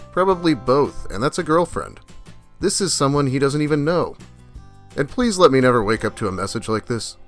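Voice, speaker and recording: Lively male commentator with wry delivery. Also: mid-range voice. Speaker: host, video creator. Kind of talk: casual commentary, reading online posts aloud.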